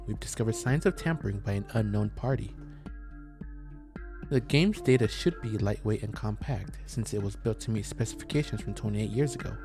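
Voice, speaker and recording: A man's voice: on the low side, dark tone. A man playing a game as a streamer, talking through it aloud in let's play style. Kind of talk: casual let's play commentary. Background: game music.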